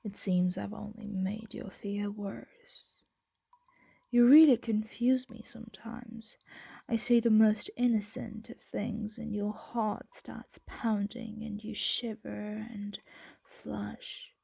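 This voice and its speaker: A woman voicing a possessive, doting female dragon in an intimate ASMR roleplay, speaking softly and deliberately and often slipping into a whisper. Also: mid-range voice, fairly even intonation, gravelly, husky, no room sound, full character voice. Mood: puzzled, fond.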